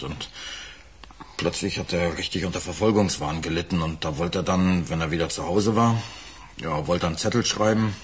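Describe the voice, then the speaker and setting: Radio crime drama: a cornered, bitter suspect, deep register, slight noise on the recording. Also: male voice, a bit bright. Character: troubled married working man under murder suspicion, defensive and bitter.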